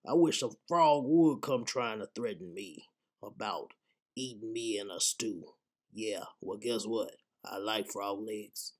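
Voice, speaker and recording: A man's voice: lowish voice, measured pace, lightly acted. A man voicing an indignant male pet dog in a comedic animal voiceover, slangy, stammering and complaining. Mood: cocky. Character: indignant male pet dog talking back to his owner.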